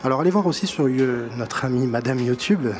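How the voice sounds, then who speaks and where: A man's voice: mid-range voice, fairly quick. A man with a slight accent in French, giving an enthusiastic, chatty music column on a live show. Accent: non-native accent in French. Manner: chatty, enthusiastic, laughs at himself. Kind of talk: casual live-show chronicle.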